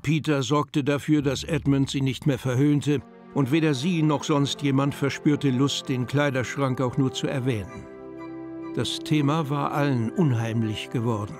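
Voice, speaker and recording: A man's voice: medium pitch, steady tone, normal speed, over music, lightly acted. A man narrating a children's fantasy audio drama as its storyteller. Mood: storytelling.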